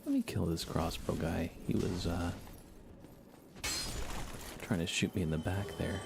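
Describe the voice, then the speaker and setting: A man giving soft-spoken, relaxed and unhurried ASMR commentary while he plays a video game live. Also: sits low, vocal fry, noisy background. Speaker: livestreamer playing a video game.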